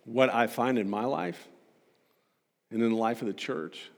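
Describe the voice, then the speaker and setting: A man delivering a sermon to a congregation as a preacher, emphatic and rhetorical, building points through repetition and pointed pauses. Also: mid-range voice, gravelly. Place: large reverberant hall. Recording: long hall reverb.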